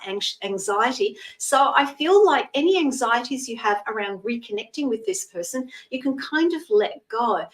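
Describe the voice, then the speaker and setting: A Scottish woman in her sixties giving a live tarot reading, chatting in a gentle, reassuring way and using terms of endearment. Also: a little high, recorded from a distance, rough recording. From Scotland. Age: 60s. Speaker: psychic tarot reader.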